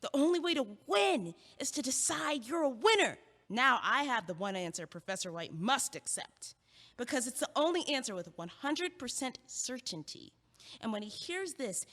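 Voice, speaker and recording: A woman's voice: sits high, fairly bright tone, exaggerated voice. A young woman playing an earnest, stubbornly logical, feisty student in an audio play. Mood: excited, insistent. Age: young adult. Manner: emphatic, argumentative. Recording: echoey hall with long reverb.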